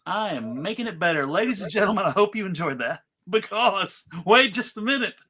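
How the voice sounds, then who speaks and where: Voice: medium pitch, animated, a little fast, bright, forceful tone. A host of a live table read, chatting casually and announcing things to the audience like a jokey showman. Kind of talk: casual live chat introducing scripts.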